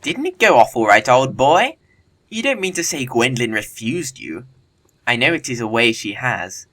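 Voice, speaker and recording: A man's voice: mid-range voice, sing-song, normal speed, bright, forceful tone. A man playing a witty young dandy in a period comedy audio play, breezy and arch in manner.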